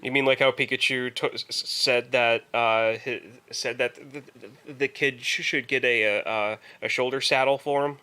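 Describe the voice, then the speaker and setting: A man co-hosting a podcast in casual chat, rambling haltingly and repeating words as he goes. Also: medium pitch, fairly even intonation, bright, forceful tone, smooth. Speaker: podcast co-host.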